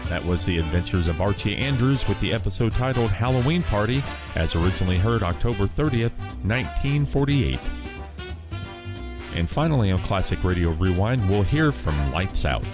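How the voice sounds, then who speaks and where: A man's voice: on the low side, lively intonation, normal speed. A man presenting a radio programme in a scripted announcing style. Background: loud swing music.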